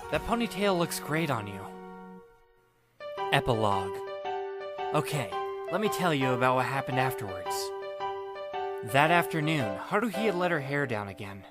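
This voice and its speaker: A man reading first-person story narration in an expressive, wry, self-deprecating and dramatic way. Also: mid-range voice, moderate pace, long pauses. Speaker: audiobook narrator playing the first-person lead. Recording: music bed behind the voice. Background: background music.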